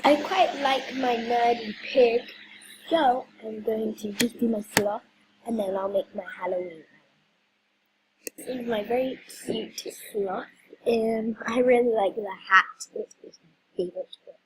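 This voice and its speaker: A woman's voice: a little high, unhurried, background noise. A woman hosting a casual vlog, talking hesitantly with many ums while she is losing her voice. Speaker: video host. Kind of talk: casual game walkthrough vlog.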